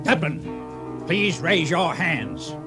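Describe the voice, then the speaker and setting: A courteous, menacing hijacker. He speaks slowly and deliberately with swooping intonation, in radio drama style, beneath loud music. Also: on the high side, sing-song. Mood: calm menace. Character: menacingly calm armed hijacker.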